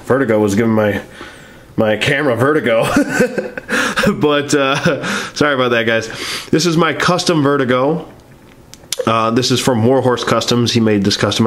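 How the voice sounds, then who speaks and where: A man with a stuffy nose from being sick, chatting casually about his action figures in a rambling, hesitant way full of ums and uhs. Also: lowish voice. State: sick and stuffy-nosed, sniffling. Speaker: toy collector reviewing action figures. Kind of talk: casual review chat to camera.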